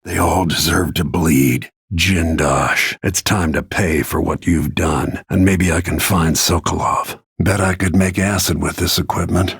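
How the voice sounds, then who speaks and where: An actor playing a grim, vengeful middle-aged assassin in a video game, brooding in a gravelly, husky voice with low-key menace. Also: male voice, steady tone, measured pace, hardly any pauses, fairly bright tone, dry recording, studio quality, heavily acted. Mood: grim, determined. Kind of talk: dramatic game voice lines, brooding inner monologue.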